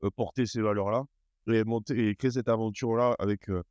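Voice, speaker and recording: Lowish guy, talks fast, dry close sound, keeps saying same phrases. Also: fairly even intonation, darkish tone, no room sound. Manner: quick, repeats "en tout cas". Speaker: startup co-founder. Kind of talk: casual pitch at a table.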